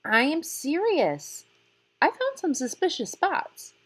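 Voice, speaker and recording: A woman's voice: sits high, wildly swooping pitch, slow pace, pitched above the performer's own voice, full character voice. A woman voicing an anxious, insistent teenage girl in an audiobook, stressing her words indignantly.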